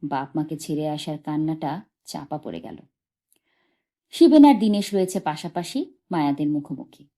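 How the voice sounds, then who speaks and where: A woman reads literary fiction as an audiobook narrator. Her voice sits a little low and is very soft-edged and mellow, and her scripted delivery is expressive storytelling. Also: normal speed, long pauses. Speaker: audiobook reader.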